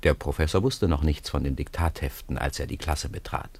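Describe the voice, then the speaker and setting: A man narrating a 1950s radio play in a calm, measured storytelling manner. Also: rumbling low, heavy background noise.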